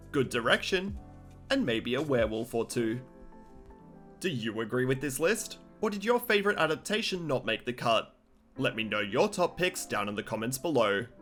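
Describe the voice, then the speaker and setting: A man's voice: mid-range voice, fairly bright tone. A man hosting a scripted countdown review, narrating as a video presenter.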